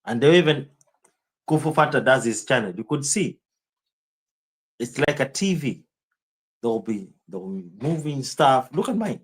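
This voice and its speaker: A man with a strong Kenyan accent talks loosely to his live audience and rambles from point to point. He answers chat comments by name in a casual streaming manner, and his voice sits at an ordinary middle pitch. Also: animated, normal speed, long pauses, slightly rough, poor audio.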